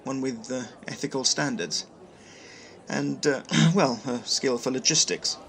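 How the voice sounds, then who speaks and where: A man playing an awkward, wry and enterprising trader in an audio drama, halting and self-deprecating. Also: mid-range voice, fairly bright tone. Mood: awkward, hopeful.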